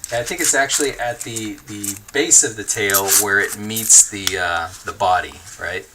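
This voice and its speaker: A man hosting a livestream, chatting casually and reading viewer comments in a relaxed, easygoing way, laughing often and thinking aloud with fillers. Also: lowish voice, moderate pace, noisy background. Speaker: livestream host.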